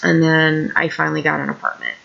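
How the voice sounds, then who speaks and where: A woman's voice: low voice, little pitch movement, a little fast, vocal fry, a bit bright, highs cut off. A woman of about thirty from Illinois, talking in a rambling, frustrated and self-deprecating way about her troubles for her vlog, sitting on a couch at home. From Illinois, USA. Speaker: vlogger. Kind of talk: candid personal vlog rant. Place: indoors on a couch.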